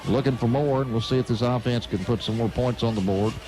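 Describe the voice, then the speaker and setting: A man's voice: mid-range voice, quick pace, poor audio. An American man doing live radio play-by-play commentary of a football game.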